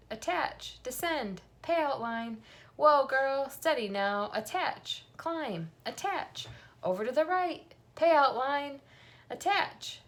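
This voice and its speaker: A woman's voice: sits high, pitched above the performer's own voice, full character voice. A woman voicing a busy female spider coaching herself in short, clipped commands for a children's story.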